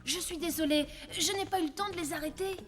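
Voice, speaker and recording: A woman's voice: very high-pitched, quick pace, soft background music. A woman voicing an apologetic, deferential animal minder of unruly youngsters in a French cartoon dub.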